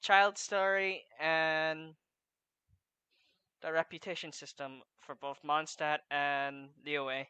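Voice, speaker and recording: A man's voice: fairly high pitch, flat delivery, takes it slowly, smooth, dry recording. A man giving casual, off-the-cuff commentary while playing a video game as a streamer. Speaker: gaming streamer. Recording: loud game music behind the voice. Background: video game music.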